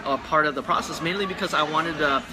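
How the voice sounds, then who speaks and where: A man's voice: a little high, fast. Earnest young man with a Filipino accent. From Philippines. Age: young adult. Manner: earnest, rambling, many restarts. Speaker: guest. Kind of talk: casual livestream chat. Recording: background music.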